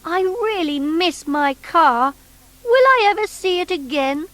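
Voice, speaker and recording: A woman voicing a small, earnest, excitable toy boy in a children's storybook, with an emphatic, childlike delivery. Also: very high-pitched, unhurried, voice pushed up, full character voice. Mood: hurt, sad.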